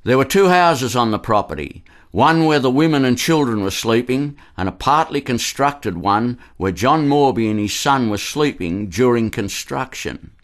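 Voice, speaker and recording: Australian man narrating history, mid-pitched voice. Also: animated, moderate pace, few pauses. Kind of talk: scripted historical narration for radio.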